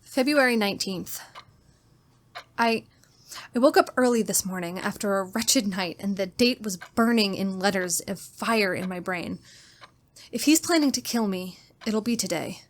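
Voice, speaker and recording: A woman's voice: medium pitch, unhurried, lightly acted. An actress playing a terrified, genteel captive young woman in a suspense drama, with a faltering, melodramatic delivery. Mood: despairing. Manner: faltering, repeats words, melodramatic. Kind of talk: old-time radio style suspense drama, diary monologue.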